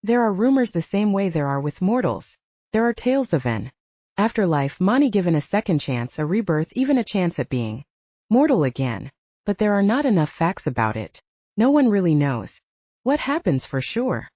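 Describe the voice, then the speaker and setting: A woman voicing a guarded, knowing young female vampire in an audiobook, soft-spoken and explanatory. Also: on the low side, sing-song, moderate pace, dry recording, muffled recording. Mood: earnest, explaining. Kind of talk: audiobook character dialogue.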